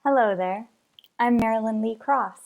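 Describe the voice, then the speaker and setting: A woman's voice: fairly high pitch, normal speed, creaky, darkish tone, rough recording. A woman acting a carefree, naive young stowaway in a retro radio drama. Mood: breezy, innocent.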